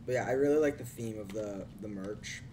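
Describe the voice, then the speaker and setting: Young guy livestreaming from his bedroom, lowish voice kinda hoarse, noisy background hum. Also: a little fast, dark tone. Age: young adult. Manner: laid-back, teasing, swears casually. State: losing his voice. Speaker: streamer. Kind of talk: casual chat on a livestream. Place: small bedroom.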